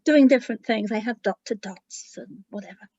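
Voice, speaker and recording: A woman with a fairly high voice, talking like a children's author at a read-aloud. Her pace is slow and informal. The sound is dry and somewhat lo-fi. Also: warm tone, breathy edge, no echo.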